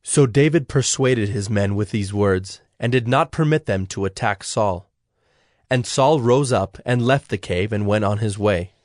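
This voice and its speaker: A man reading scripted Bible story narration in a measured, solemn manner. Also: lowish voice, darkish tone, no echo, studio quality.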